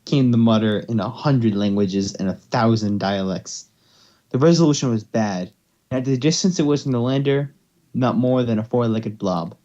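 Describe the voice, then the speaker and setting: A man's voice: medium pitch, moderate pace, mellow, muffled recording. A man hosting and narrating an amateur story reading, slipping between scripted narration and casual banter. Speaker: narrator and host.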